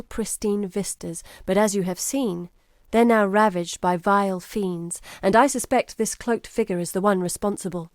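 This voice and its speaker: An actress plays a determined woman guide in a dramatic fantasy game. Her voice sits at a medium female pitch, with clearly articulated, serious phrasing. The sound is dry, with no room around it. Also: a little mellow, no room sound, natural voice. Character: earnest, determined woman guide searching for her lost father. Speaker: game character voice. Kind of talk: dramatic video game voice acting.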